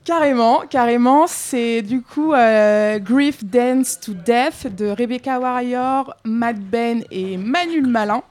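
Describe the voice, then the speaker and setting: A woman's voice: a little high, takes it slowly, hardly any pauses, a little mellow. A woman in her twenties from Congo, a journalist guest on a live radio show, speaking thoughtfully with many hesitations. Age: 20s. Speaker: guest, freelance journalist and podcaster. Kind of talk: radio interview.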